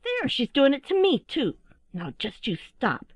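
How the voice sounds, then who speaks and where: Female reader as squabbling owlet: high, squeaky, whiny, childish storybook creature. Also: huge pitch swings, measured pace, slightly rough, no room sound, exaggerated voice. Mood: squabbling, complaining. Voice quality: whining, squeaky. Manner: childish bickering, drawn out. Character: whining, squabbling baby owls, small and squeaky.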